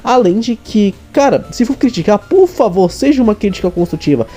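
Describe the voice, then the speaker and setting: A man's voice: sits high, expressive, a little fast, mellow, faint music behind. A young Brazilian man chatting casually to his viewers in an earnest, informal way. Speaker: vlogger and amateur writer.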